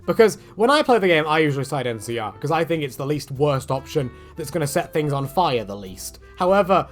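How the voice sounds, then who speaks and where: Fast, chatty man over music. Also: fairly high pitch, very animated. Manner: chatty, tangent-prone, self-correcting. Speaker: gaming video creator. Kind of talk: casual rambling video commentary.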